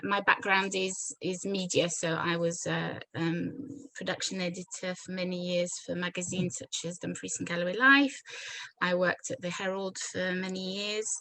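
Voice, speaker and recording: A woman's voice: lowish voice, pushed and bright, poor audio. A middle-aged woman from France chairing a meeting and introducing herself informally, in hesitant, broken phrases.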